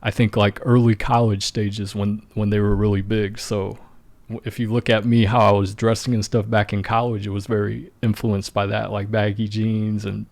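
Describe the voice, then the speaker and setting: A man's voice: lowish voice, little pitch movement, normal speed, creaky, darkish tone, no echo. A man in his thirties hosting a casual interview, asking hesitant questions with restarts. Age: 30s. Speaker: podcast host.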